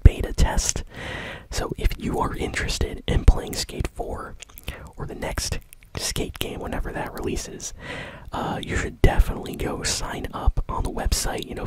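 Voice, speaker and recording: A host delivers gaming news entirely in a breathy whisper. The delivery is casual and unhurried, wandering from item to item in a soft ASMR style. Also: poor audio.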